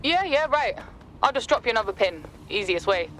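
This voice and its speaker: An actress playing a casual, breezy underworld contact in a video game, offhand in delivery. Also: female voice, a little high, sing-song, a little fast, a bit bright, a little hiss or hum. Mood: breezy, businesslike. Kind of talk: video game dramatic acting.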